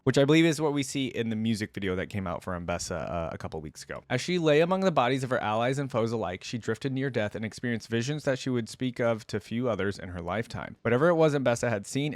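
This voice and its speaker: A man's voice: mid-range voice, no echo. A man hosting a reaction video, giving casual commentary and reading text aloud in a conversational way. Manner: conversational asides, thinking out loud.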